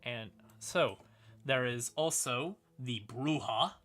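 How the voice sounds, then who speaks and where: A man's voice: medium pitch, slow pace, fairly bright tone. A man hosting a casual livestream, explaining fantasy game lore in an informal, sweary, apologetic way while sounding a bit tired. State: tired, yawning. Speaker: livestream host.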